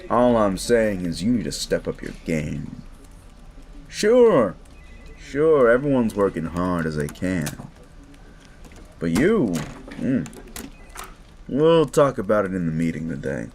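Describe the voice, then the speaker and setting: Man acting as a slow-drawling, sleazy bully boss. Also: deep voice, sing-song, a little mellow, exaggerated voice. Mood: smug, patronizing. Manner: mocking, drawling, swearing, theatrically patronizing. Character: sleazy male werewolf boss, smug, condescending, bullying. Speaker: office boss. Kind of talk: audio roleplay, direct address to listener.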